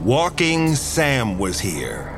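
A man's voice: medium pitch, wildly swooping pitch, very slow, music in the background, exaggerated voice. An actor playing an intense, hot-tempered male street artist in an audio drama, speaking in terse, sweary bursts. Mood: angry, agitated.

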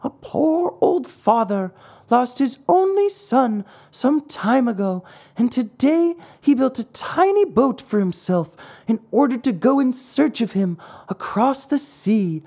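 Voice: sits high, lively intonation, takes it slowly, darkish tone, pitched above the performer's own voice, full character voice. A narrator voicing a worried little old woman from a village, in an anxious storybook manner.

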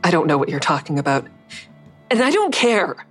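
A woman's voice: low-pitched, big pitch swings, moderate pace, breathy edge, full character voice. An actress playing a guarded, defiant woman in an audio drama, narrating and speaking in a terse, dry, confiding way. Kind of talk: first-person audio drama narration and dialogue. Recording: faint music under the voice.

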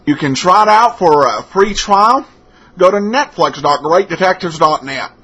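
A man introducing a podcast in a casual, halting way. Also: high-pitched, lively intonation, bright, forceful tone, breathy edge. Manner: halting, hesitant delivery. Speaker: podcast host.

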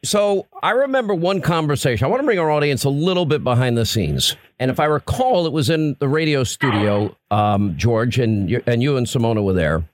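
A man's voice: medium pitch, lively intonation, a little fast, hardly any pauses. A man hosting a radio talk show, interviewing guests in a rambling, chatty way with frequent restarts. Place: radio studio.

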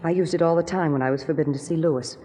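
Woman acting a low-voiced, guarded radio heroine. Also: racing, dark tone, lightly acted. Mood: evasive. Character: guarded, unhappy young wealthy estate lady. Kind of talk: 1940s radio drama acting. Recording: faintly noisy 1940s radio recording.

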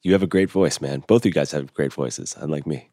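A man with a hoarse, husky voice that sits very low, hosting a podcast in a relaxed, self-deprecating way. The sound is close and dry, with no room around it. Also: a little fast, dry recording. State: losing his voice. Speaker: podcast host. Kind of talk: casual podcast chat and interviewing.

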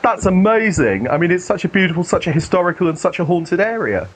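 A man from Denmark with a husky voice, chatting casually as a self-deprecating interview guest. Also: sits high, very animated, fast talker, rough recording. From Denmark, has lived in the UK most of his life. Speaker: guest, paranormal researcher and theologian.

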